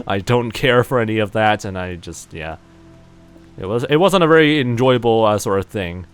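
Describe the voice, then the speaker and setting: Male streamer, lowish voice, loose rambling game-stream chatter, faint music underneath. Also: animated, moderate pace, smooth. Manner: rambling, many fillers, self-mocking. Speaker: livestreamer. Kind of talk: casual gaming livestream commentary.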